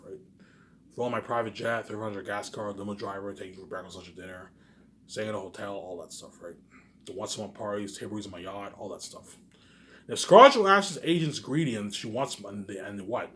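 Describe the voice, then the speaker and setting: A man's voice: mid-range voice, a bit bright. A man talking casually to his viewers in a rambling monologue, boastful and self-assured, repeating himself and tacking trailing asides onto his phrases. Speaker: vlogger.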